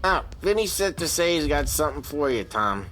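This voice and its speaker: A man's voice: a little high, sing-song, fairly quick, fairly bright tone, faint music behind. An actor playing a grumbling, chatty male mob enforcer with an American accent in a video game. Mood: gruffly casual. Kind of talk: video game dialogue acting.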